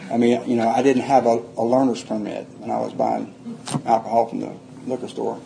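A man's voice: mid-range voice, little pitch movement, a little fast, vocal fry, dark tone, slight background noise, poor audio. An older man, telling his life story plainly to a group, rambling and restarting often. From Atlanta, Georgia, USA. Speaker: recovery speaker.

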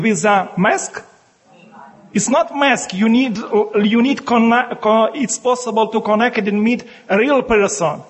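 A man from Ukraine speaking in halting, broken English with a Ukrainian accent, a bishop and monk addressing a meeting as guest speaker. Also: high-pitched. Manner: searching for words in broken English.

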